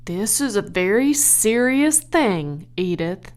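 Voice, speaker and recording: An earnest farmer man from a children's storybook, acted out by a woman reader. He is solemn and awestruck, speaking slowly and haltingly, with stammered starts. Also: mid-range voice, animated, a little mellow. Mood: shaken, solemn.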